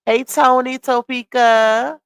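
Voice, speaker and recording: A woman's voice: fairly high pitch, steady tone, slow, clear, resonant tone, no room sound, studio quality. Chatty woman hosting a gossip live stream. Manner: chatty, reads out comments and greets viewers. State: losing her voice.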